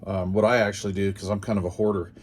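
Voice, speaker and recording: A man's voice: fairly low pitch, level tone, fast. Casual man reviewing gear over background noise. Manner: informal, musing aloud in short phrases. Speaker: host of a radio gear channel. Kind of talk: casual unboxing and product commentary.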